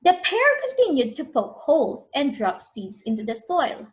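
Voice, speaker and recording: A woman's voice: high voice, very animated, normal speed. A woman reading a picture book to young children as a preschool storyteller, expressively, prompting them with questions.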